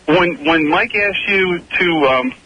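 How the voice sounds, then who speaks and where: Guy calling a radio show, bright quick voice, hesitant, dull hissy phone. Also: sits high, dry recording. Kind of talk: casual phone-in talk. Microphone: telephone. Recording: dull, hissy telephone line.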